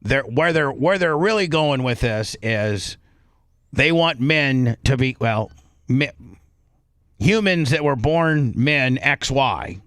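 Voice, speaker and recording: A man's voice: mid-range voice, animated, slow, fairly bright tone, no room sound. A man speaks as a talk radio host in a mid-range voice, recorded dry with no room sound. He comments casually, circling back over his phrases and restarting as he explains.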